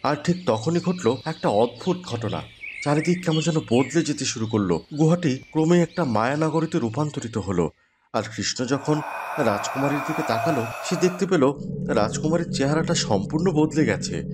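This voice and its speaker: A man's voice: a little high, expressive, moderate pace, a little mellow, a little hiss or hum. A man telling a scripted fairy tale as the storyteller. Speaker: storyteller, narrator.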